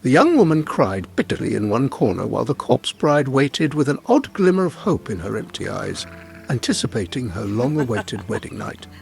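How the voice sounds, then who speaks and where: Expressive mid-pitched male narrator with music. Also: normal speed, gravelly. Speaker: storyteller. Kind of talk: scripted narration of a dramatized folk tale. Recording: music bed behind the voice.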